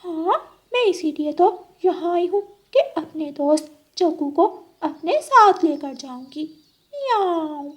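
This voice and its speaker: A woman's voice: very high voice, lively intonation, measured pace, pitched above the performer's own voice. A woman voicing a sly female cat in a children's cartoon, coaxing and pleading with falsely sweet words and meows. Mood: persuasive. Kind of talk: children's animal cartoon voice acting.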